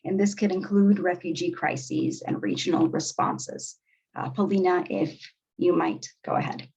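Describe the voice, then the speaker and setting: A woman moderating an academic panel, speaking in a measured, articulate and formal way. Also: on the low side, steady tone, darkish tone, slightly rough, low-quality recording.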